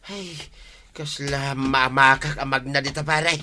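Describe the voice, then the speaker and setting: A man's voice: mid-range voice, level tone, measured pace, pushed and bright, a little hiss or hum. An actor with an Ilocano accent playing an older village man with rheumatism in a radio comedy, talking in a plain, mild way. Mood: amused. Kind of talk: 1950s-style radio comedy acting.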